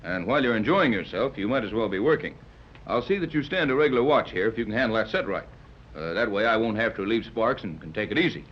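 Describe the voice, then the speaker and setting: An actor playing a wry, skeptical yacht captain who gives clipped orders, in 1930s radio adventure style. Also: male voice, lowish voice, lively intonation, fast talker. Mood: suspicious. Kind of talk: 1930s radio serial acting. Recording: muffled, hissy 1930s radio transcription.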